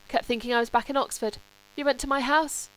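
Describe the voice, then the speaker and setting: A woman's voice: high-pitched, fairly even intonation, fast, a bit bright, pitched above the performer's own voice. A woman voicing a frail, languid young upper-class Englishman in an audiobook, with a wistful manner. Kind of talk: audiobook character reading.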